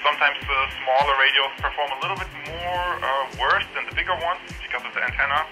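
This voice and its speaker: A man giving casual range test commentary over a walkie-talkie, repeating his phrases deliberately as a product reviewer. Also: medium pitch, music in the background, rough recording. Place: outdoors, walking along suburban roads.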